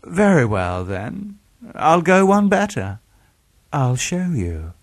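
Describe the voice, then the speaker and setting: An arrogant killer surgeon from an audiobook thriller, voiced by a male narrator. He is sardonic and theatrical, mocking with false affability, and his voice swings up high. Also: big pitch swings, slow pace, no echo, full character voice. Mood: smug.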